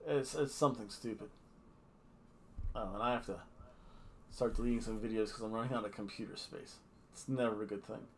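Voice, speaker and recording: A man's voice: lowish voice, brisk, pauses often, a little hiss or hum. A middle-aged man giving casual, rambling, jokey commentary as a review host, recorded at a webcam in a home room. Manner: jokey, rambling, slips into mock accents. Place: small home room indoors.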